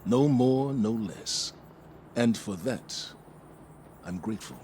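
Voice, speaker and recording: Slow, stoic male commander. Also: lowish voice, expressive, warm tone, slight background noise. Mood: quietly grateful. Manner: grave, restrained, deliberate. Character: stoic, grateful veteran commander of guardians. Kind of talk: scripted video game cutscene acting.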